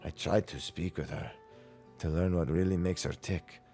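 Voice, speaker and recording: A man voicing a gruff but fond older craftsman in a video game, speaking in measured, formal, earnest phrases. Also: deep voice, fairly even intonation, warm tone, soft background music. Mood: tender, earnest. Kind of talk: video game dialogue read aloud in character.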